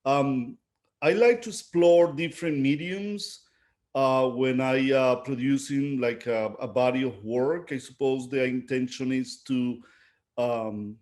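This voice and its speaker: A man's voice: mid-range voice, slow, darkish tone. Middle aged venezuelan guy, spanish accent, artist talking off the cuff in an interview, keeps searching for words. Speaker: visual artist being interviewed. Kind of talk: unscripted interview talk, reflective.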